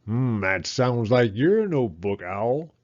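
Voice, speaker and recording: A man's voice: mid-range voice, very animated, slow, darkish tone. Storybook beaver, man acting: grumbly, blunt, matter-of-fact, slightly dull recording.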